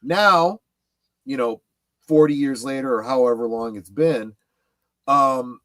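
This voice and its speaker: Middle-aged man in halting chat, dry sound. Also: medium pitch, fairly bright tone, no echo. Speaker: panelist on a fan discussion show. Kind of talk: casual chat about films and memorabilia.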